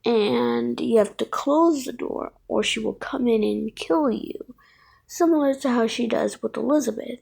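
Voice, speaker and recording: A woman gives casual commentary as a video creator, in a fairly high voice with a creaky edge. She takes her time, rambling and thinking aloud as she corrects herself. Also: unhurried, a little mellow. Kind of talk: casual fan commentary on game characters.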